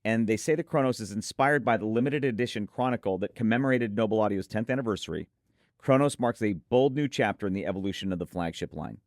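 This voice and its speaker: Deep-voiced, enthusiastic man reviewing gear. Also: quick pace, no room sound, clean studio sound. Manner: emphatic, effusive, repeats points for emphasis. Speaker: audio gear reviewer and co-host. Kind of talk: enthusiastic product preview talk.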